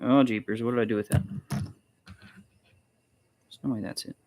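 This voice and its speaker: A man casually hosting a hands-on demonstration, talking haltingly and muttering to himself as he works. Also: medium pitch, fairly even intonation, a little mellow. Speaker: vlog host, maker showing designs.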